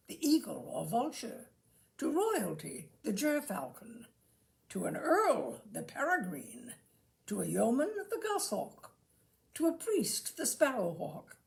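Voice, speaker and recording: A woman giving an expressive, theatrical reading of a humorous essay, with measured phrasing and dramatic pauses. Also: on the high side, gravelly. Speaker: reader of literary humour.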